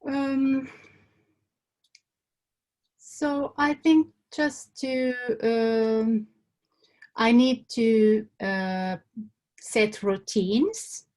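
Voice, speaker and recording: Woman from Finland, interviewee, halting and thoughtful, unhurried delivery. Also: a little high, a little mellow. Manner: hesitant, many fillers and restarts. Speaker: survey respondent. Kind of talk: hesitant answers in an interview.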